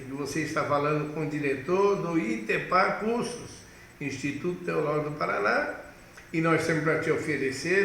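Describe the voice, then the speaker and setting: Chatty middle-aged pastor in an echoey room. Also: male voice, on the high side, vocal fry, fairly bright tone. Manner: conversational, addresses the viewer directly, acts out student questions. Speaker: pastor and course director. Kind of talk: online course lesson. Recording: echoey room, faint background hiss.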